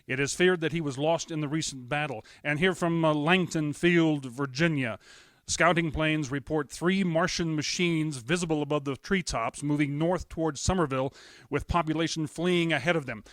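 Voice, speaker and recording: A radio announcer reads urgent bulletins in a radio play, as a man acts him out. He hesitates and stumbles over his words, his voice a little high for a man and recorded close and dry. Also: normal speed, a bit bright, dry recording, natural voice. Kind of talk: amateur radio drama.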